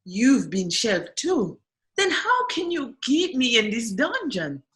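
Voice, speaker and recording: A woman's voice: fairly high pitch, big pitch swings, slow, a bit bright, full character voice. A woman playing an imperious, indignant fairy-tale prisoner who speaks formally and insistently in a radio play. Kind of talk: irreverent radio play acting.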